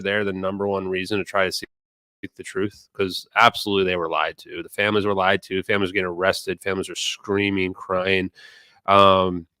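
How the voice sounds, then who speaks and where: A man's voice: lowish voice, pushed and bright, smooth, no echo. A man with a mid-Atlantic accent from the United States, talking earnestly and ramblingly in a casual online chat, swearing now and then. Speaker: streamer and guest speaker. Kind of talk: casual impassioned talk in an online audio room.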